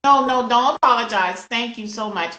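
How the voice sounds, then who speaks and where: A woman's voice: on the high side, normal speed, crowd noise. A middle-aged woman hosting a casual interview, affirming and agreeing often as she talks. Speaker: host. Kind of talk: casual supportive interview chat. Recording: roomy, echoey sound.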